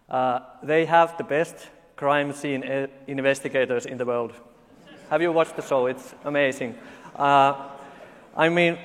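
Man, Finnish accent, forties, deadpan conference speaker, frequent fillers, reverberant hall. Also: mid-range voice. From Finland. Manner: halting, many uh fillers, dry jokes. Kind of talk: conference talk with deadpan humor.

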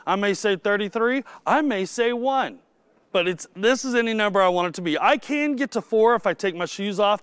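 A middle-aged man who is losing his voice gives a technical conference talk, clearing his throat and cracking self-deprecating jokes with the audience. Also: a little high, fairly bright tone, dry recording. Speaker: conference speaker.

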